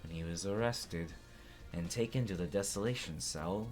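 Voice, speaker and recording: A man's voice: deep voice, normal speed, music in the background. A man reading courtroom game dialogue aloud as a let's player, with casual asides.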